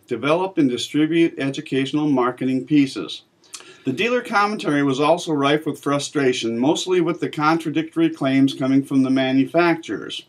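A man in his fifties, a magazine editor, reading a scripted commentary to camera in a measured, plain way from a small office. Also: a little high. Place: small office with bookshelf, indoors.

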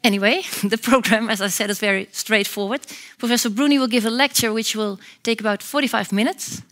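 A woman's voice: a little high, a little fast, fairly bright tone. Woman hosting a lecture evening. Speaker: program manager and event host. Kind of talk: event introduction. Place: theatre stage.

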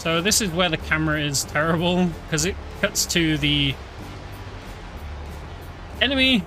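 A man talks over his gameplay in a relaxed, chuckling way. His voice sits a little high. Game music plays loudly behind him. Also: measured pace. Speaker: streamer. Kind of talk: casual game commentary.

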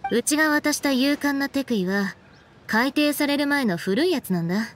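A woman playing a cool, laid-back, sharp-witted young woman with a casual, blunt manner in an anime game. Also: high voice, expressive, slight background noise. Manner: casual, blunt, unhurried, pointed questioning. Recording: background music under the voice.

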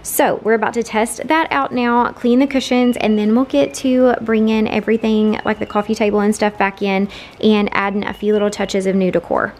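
A woman's voice: medium pitch, fairly even intonation, moderate pace, darkish tone. A young woman, narrating her home vlog in a chatty, rambling way with plenty of fillers. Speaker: home vlogger. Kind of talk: casual voice-over narration.

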